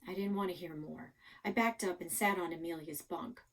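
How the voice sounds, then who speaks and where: A woman narrating a first-person children's novel expressively, with dramatic pauses and hushed asides. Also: fairly low pitch. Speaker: library story reader.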